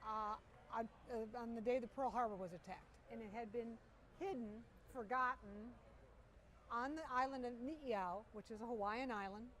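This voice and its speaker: A middle-aged woman with a voice pitched a little high tells stories with lively enthusiasm. She is interviewed outdoors at an air show, with faint background noise around her. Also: normal speed. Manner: animated, enthusiastic storyteller. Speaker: interview guest. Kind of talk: storytelling interview.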